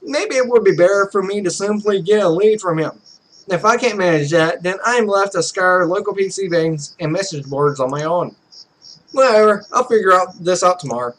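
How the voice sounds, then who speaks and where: A man with a high voice reads a visual novel aloud for a gaming video. His delivery is theatrical, and he stumbles over the text and drops in asides of his own. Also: animated, moderate pace, fairly bright tone, rough recording.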